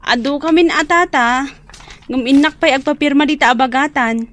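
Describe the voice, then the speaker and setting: Woman playing a high-pitched, indignant Ilocano young woman. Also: moderate pace, a bit bright. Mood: determined. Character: indignant young single woman, petitioning neighbour. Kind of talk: comedy radio drama acting.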